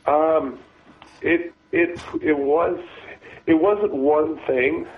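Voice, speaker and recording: A man, a former deputy sheriff, talking as a guest with a raspy voice from a cold, halting and restarting his sentences. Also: fairly high pitch, fairly even intonation. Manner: halting, many restarts and repeated words. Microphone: phone. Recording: phone line.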